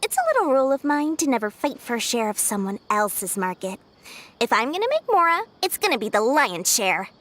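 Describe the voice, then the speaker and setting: High-voiced, sly young merchant. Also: female voice, sing-song, a little fast, a bit bright. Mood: sly, confident. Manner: sly, chatty, smooth salesmanship. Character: shrewd, greedy, playful young female merchant. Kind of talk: video game voice acting.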